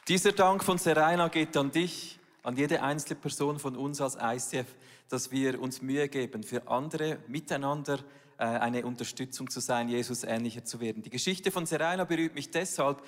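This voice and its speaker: Church leader sharing personal faith stuff, earnest, echoey big hall. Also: female voice, a bass voice, moderate pace. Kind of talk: sermon-style church talk. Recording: long hall reverb.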